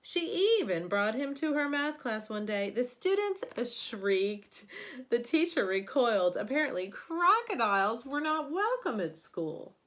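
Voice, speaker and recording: A woman reading a picture book aloud like a teacher at bedtime, with expressive emphasis on key words. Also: fairly high pitch, measured pace.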